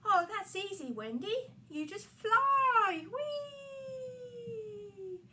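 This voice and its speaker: Woman as a boastful adventurous boy, puppet-show storytelling, bright voice, excitable, animated, away from the mic. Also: very high voice, very slow, far from the mic, pitched above the performer's own voice, full character voice. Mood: eager, inviting. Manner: excitable, whooping, encouraging.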